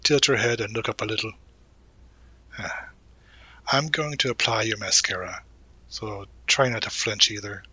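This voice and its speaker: A man acting as a young college guy, protective and gently teasing. His voice is bright and crisp-edged, recorded close and dry. He speaks casually, in a reassuring, intimate roleplay style, with thoughtful pauses and little murmured asides. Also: lowish voice, fairly even intonation, no echo, exaggerated voice. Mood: gentle, focused. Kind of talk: intimate audio roleplay, one-sided conversation.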